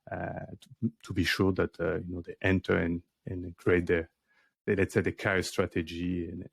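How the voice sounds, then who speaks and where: A man's voice: deep voice, creaky, dry recording. A man, a career coach guest on an interview podcast, talking casually with many fillers and restarts. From France.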